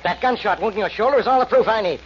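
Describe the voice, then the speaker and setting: Actor as blustering high-pitched, fast radio police inspector. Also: male voice, natural voice. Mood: accusing, smug. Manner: blustering, accusatory. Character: gruff, badgering, gloating police inspector. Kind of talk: 1940s radio acting. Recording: muffled, noisy old radio recording.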